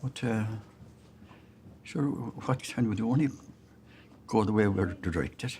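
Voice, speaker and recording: A man's voice: on the low side, a little slow, a little mellow, slight background noise. An older man speaking plainly and with good-humoured resignation about keeping up with change. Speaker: class participant.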